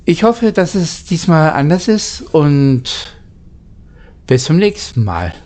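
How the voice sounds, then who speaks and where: Man, amateur narrator, unhurried, soft-edged mellow tone, halting delivery with repeated words. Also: fairly high pitch, big pitch swings. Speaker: narrator, channel host. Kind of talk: amateur storybook reading, casual channel outro.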